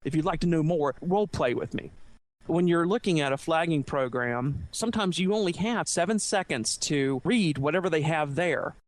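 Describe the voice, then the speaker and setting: A man on a podcast panel giving informal advice in a measured, explanatory way. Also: fairly high pitch, animated.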